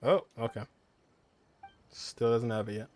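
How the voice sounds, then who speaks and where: Man casually commenting over a game, mid-pitched. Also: a little slow, a little mellow. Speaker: gaming streamer.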